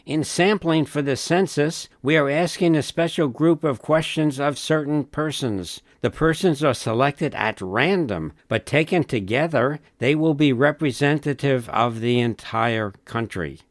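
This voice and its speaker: A man's voice: a little high, unhurried, few pauses, dry recording, studio quality, pitched above the performer's own voice, natural voice. A man playing a courteous, patient census enumerator, explaining formally in a scripted training-film role play. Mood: polite, earnest.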